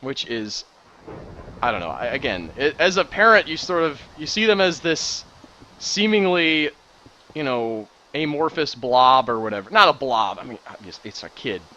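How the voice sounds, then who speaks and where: A man in his thirties, excitable and rambling, chatting casually while playing a building game. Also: medium pitch, moderate pace, dull audio, a little hiss or hum. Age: 30s. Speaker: Minecraft builder and streamer. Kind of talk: casual commentary while gaming.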